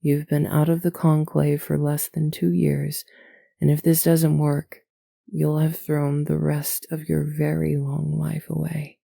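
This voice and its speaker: Low, creaky, patient elder alien woman played by a woman. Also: little pitch movement, dry recording, studio quality, exaggerated voice. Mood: solemn. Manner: measured, earnest, gently admonishing. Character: older, devout alien woman, patient, principled, motherly. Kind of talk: scripted fantasy audio roleplay acting.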